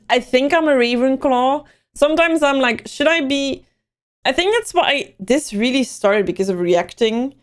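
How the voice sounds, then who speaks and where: A young woman from Belgium, her mother tongue, with a lilt to her English. Her voice sits a touch high, and she chats loosely on a livestream, wandering from thought to thought as she answers her viewers. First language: Dutch. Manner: chatty, rambling, answering chat messages. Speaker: livestream host. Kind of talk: casual livestream chat.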